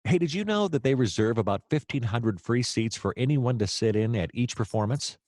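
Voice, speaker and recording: An actor playing a hapless, excitable private detective in a comedic noir audio play, rambling and theatrical in his exasperation. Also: medium pitch, a little fast, dry recording, clean studio sound, natural voice. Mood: enthusiastic.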